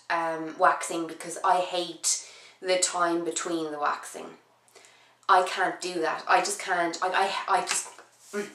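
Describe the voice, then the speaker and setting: A woman's voice: on the low side, sharp tone, roomy sound, poor audio. Sick woman, chatty and rambling. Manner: chatty, rambling, frequent "um" and restarts. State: sick, voice husky and low. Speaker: beauty vlogger. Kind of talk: casual chat to camera.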